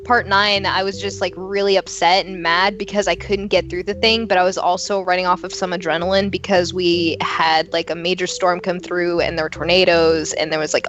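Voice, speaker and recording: A woman's voice: fairly low pitch, a bit bright, muffled recording, slight background noise. A young woman from Oklahoma chatting in a rambling, casual way on a podcast, with lots of fillers. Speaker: podcast co-host.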